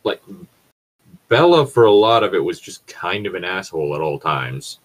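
A man's voice: deep voice, very animated, normal speed, poor audio. A man chatting casually and bluntly, swearing freely and arguing his points. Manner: profane, argumentative. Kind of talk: casual opinionated chat.

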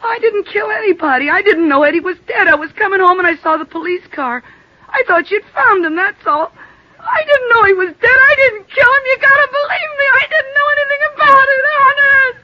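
A woman's voice: extremely high pitch, brisk, no room sound. A woman playing a frightened young nightclub dancer putting on toughness in a 1950s radio drama, defensive and pleading. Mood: sobbing, desperate. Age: young adult. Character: scared young female stripper putting on toughness. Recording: dull vintage radio recording.

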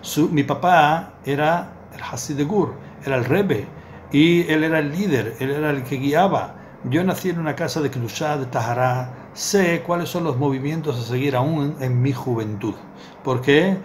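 A middle-aged male rabbi tells a parable in an earnest teaching voice, leaning on repeated words to drive each point home. His voice sits a little higher than most men's. A desk webcam catches him in a small book-lined study, with faint room hiss behind him. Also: normal speed. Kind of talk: Torah lesson with storytelling. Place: small indoor study with bookshelves.

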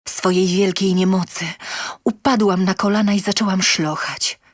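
A woman's voice: medium pitch, fairly even intonation, normal speed, no echo, clean studio sound. A woman reading a scripted first-person story in a theatrical, emotive storytelling manner. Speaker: reader of a short story.

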